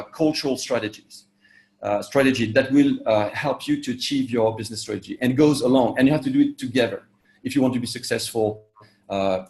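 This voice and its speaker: An English-speaking man, born in Vietnam and raised in France, works as a coach and answers interview questions. His delivery is halting and full of "uh" fillers and self-corrections, at a middle pitch. The sound is echoey, as if recorded in a reflective room. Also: a little mellow. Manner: halting, many "uh" fillers and restarts. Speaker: executive coach.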